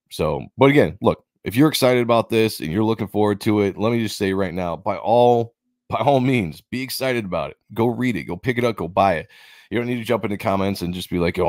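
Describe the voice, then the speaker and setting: A man hosting his own channel, giving a rambling, opinionated commentary and repeating himself, his voice going hoarse. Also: fairly low pitch, animated, fairly quick, dry recording, clean studio sound. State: losing his voice, coughing. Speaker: comic book channel host.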